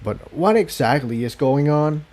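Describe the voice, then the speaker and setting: A man voicing a cautious male unicorn pony, serious and gentle, in a storybook adventure. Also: fairly high pitch, lively intonation, a little slow, pitched above the performer's own voice. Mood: puzzled.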